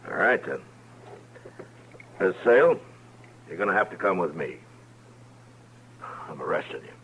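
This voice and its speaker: A male actor with an American accent playing a steady, courteous Old West lawman in a radio western, speaking in a measured, polite way. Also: low-pitched, dull audio, full character voice. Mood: earnest, reluctant. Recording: noisy vintage radio recording with hum.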